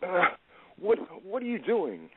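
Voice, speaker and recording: A man's voice: high-pitched, huge pitch swings, unhurried, slight background noise, full character voice. A man acting in an audio play as a frightened aging drunkard, stammering, evasive and defensive. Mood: panicked, pleading. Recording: tinny phone-like band.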